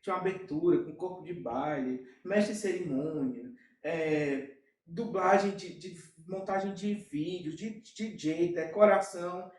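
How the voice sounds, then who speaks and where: A man with a Brazilian Portuguese accent, a guest in an interview, telling his story in an animated way with many asides. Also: high voice, far from the mic. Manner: animated, with frequent fillers and quick asides. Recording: echoey room, off-mic.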